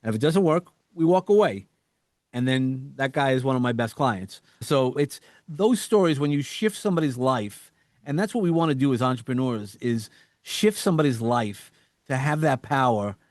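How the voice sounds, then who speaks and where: A man with a New York accent, a coach talking as a podcast guest, energetic and quick to repeat words and act out quotes. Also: medium pitch, dry recording, clean studio sound. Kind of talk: casual interview chat.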